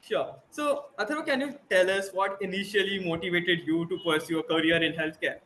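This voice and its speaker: A male host with a notably high, bright voice reads a guest introduction and asks questions in a formal, brisk way. Faint ambience hums behind him. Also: a little hiss or hum, low-quality recording. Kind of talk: scripted introduction and interview questions.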